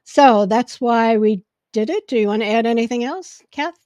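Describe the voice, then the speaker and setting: Woman host with a loud, projecting voice. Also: fairly high pitch, moderate pace, no room sound, clean studio sound. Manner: loud, projecting, gets excited. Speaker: podcast host. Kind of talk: casual podcast chat. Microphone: podcast microphone.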